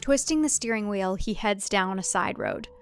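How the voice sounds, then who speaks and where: A woman's voice: mid-range voice, animated, moderate pace, faint music behind. A woman who is the author, reading her audio drama as scripted narration with a wry storytelling delivery.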